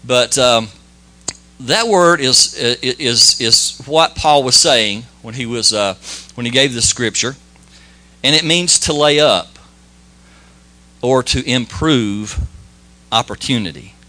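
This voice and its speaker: A man giving an informal church sermon and prayer, folksy and modest, talking in halting phrases with many restarts and fillers. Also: medium pitch, expressive, sharp tone. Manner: modest, plain-spoken. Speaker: lay preacher.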